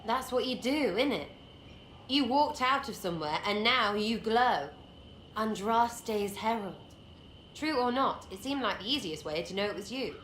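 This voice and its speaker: Scrappy young archer rogue, English accent, irreverent and slangy, highish voice swooping about, roomy game audio. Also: female voice, lively intonation, unhurried, fairly bright tone. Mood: blunt, rambling. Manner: slangy, blunt, rambling, mocking. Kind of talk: video game dialogue acting. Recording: roomy echo, faint background noise.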